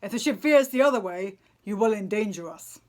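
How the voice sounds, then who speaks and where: A woman's voice: medium pitch, expressive, a little fast, a little mellow, close to an everyday voice. A woman voicing a brave male Greek sea captain, giving a firm, rallying speech in epic storybook style. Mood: rousing, commanding.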